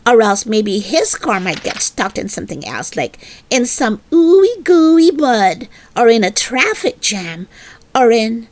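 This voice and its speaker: A woman voicing a comforting, hopeful little male stuffed elephant in a children's storybook, with encouraging, drawn-out delivery. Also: fairly high pitch, big pitch swings. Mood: excitedly scheming. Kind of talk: animated children's storybook reading.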